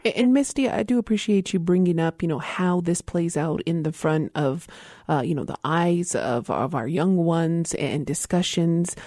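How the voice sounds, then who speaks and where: A woman hosting a call-in radio show, calmly welcoming callers and putting them on air. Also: lowish voice, normal speed, few pauses, no room sound, clean studio sound. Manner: calm, welcoming, takes calls smoothly. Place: radio studio.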